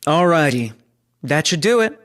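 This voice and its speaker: An actor playing a skeptical, businesslike space station operator in a sci-fi audio drama. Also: male voice, fairly high pitch, big pitch swings, normal speed, fairly bright tone. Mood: dismissive.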